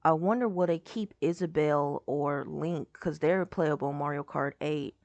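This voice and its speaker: A young woman with a hoarse voice, rambling casually as she reacts to a game showcase video. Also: low-pitched, normal speed, darkish tone. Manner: rambling, lots of "like" and trailing off.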